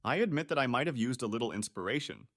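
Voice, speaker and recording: A woman playing a cheeky, gossipy AI assistant in a comedy sketch, matter-of-fact and deadpan. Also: extremely low pitch, fast. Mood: unrepentant.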